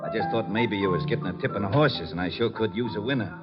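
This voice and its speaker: Dull old radio sound with loud music. An actor plays a tough club doorman in a crime drama. His voice is on the low side, his talk slangy and ungrammatical. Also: fast, close to an everyday voice. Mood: nosy, complaining. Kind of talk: 1940s-50s radio crime acting.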